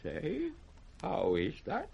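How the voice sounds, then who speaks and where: A man's voice: fairly high pitch, wildly swooping pitch, takes it slowly, creaky, lo-fi sound, a little hiss or hum, heavily acted. An actor playing an aging, proud, wrathful king in classic radio Shakespeare, grand and volatile. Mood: bitter grief. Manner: grand, volatile, swinging from thunder to broken murmurs. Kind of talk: 1960s British radio Shakespeare acting.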